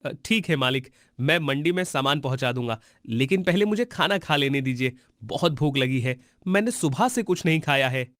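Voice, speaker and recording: A man's voice: medium pitch, expressive, moderate pace, few pauses, a bit bright, dry recording, studio quality, close to an everyday voice. A man playing a meek old cartoon labourer. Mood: meek, weary. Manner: submissive, pleading. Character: meek, poor old male farm labourer, pleading. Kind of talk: Hindi cartoon story voice acting.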